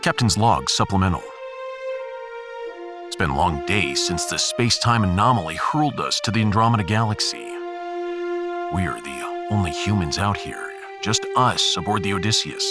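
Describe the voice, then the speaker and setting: A man playing a blunt, sardonic human starship captain in a sci-fi audio drama, with a wry, theatrical delivery. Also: sits low. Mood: grave log-keeping.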